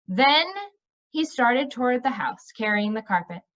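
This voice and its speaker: A woman's voice: a little high, lively intonation, unhurried, fairly bright tone, dull audio. A woman narrating a storybook aloud.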